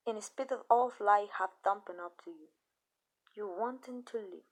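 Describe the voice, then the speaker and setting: A woman acts out a female vampire who owns a human and talks to them in a calm, possessive way. Her speech is soft and measured, with deliberate pauses, at a middling pitch for a woman. The delivery is an intimate ASMR roleplay monologue. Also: close to an everyday voice. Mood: soft, condescending. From Mexico. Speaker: amateur voice actress.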